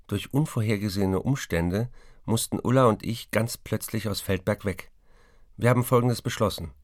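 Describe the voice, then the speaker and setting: A man acts as a troubled writer reading his letters aloud in a documentary. His voice sits low and is recorded close and dry. He speaks in a measured, literary and confiding way. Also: dry recording, close to an everyday voice. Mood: earnest, polite.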